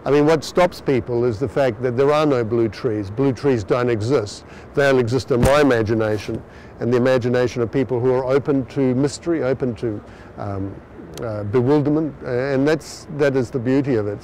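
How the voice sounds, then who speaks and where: A man's voice: mid-range voice, fairly quick, darkish tone, slight background noise, low-quality recording. Reflective male artist in interview. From Australia. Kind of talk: interview soundbite.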